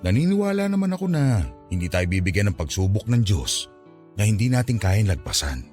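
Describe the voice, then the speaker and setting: A patient, poor middle-aged father in a radio drama. His low voice swings expressively, earnest and consoling, over faint music. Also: big pitch swings, a little fast, a little mellow. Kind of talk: Tagalog radio drama acting.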